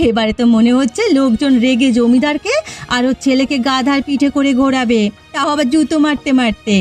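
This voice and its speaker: A woman plays the spiteful wife of a village schemer in a cartoon. Her high voice is gleeful and mocking. Music plays underneath. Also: lively intonation, moderate pace, darkish tone. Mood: gloating, amused. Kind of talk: exaggerated cartoon villain dialogue. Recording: music behind the voice.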